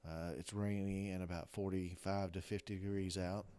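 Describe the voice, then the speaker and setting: A man with a hoarse, rough voice from a weekend of coughing, talking casually and haltingly through a strategy game. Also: deep voice, little pitch movement, mellow. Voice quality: rough-sounding voice. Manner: rambling, hesitant, many restarts. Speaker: strategy game video creator. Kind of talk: casual gameplay commentary.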